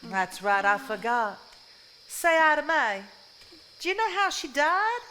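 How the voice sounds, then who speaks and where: Actress doing fed up southern wife, high voice, live play, bit of background noise. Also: female voice, big pitch swings, measured pace, bright, forceful tone, exaggerated voice. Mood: chatty, sympathetic. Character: weary unhappy 1950s Southern wife and mother. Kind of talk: live stage acting. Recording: live stage recording, background hiss and ambience.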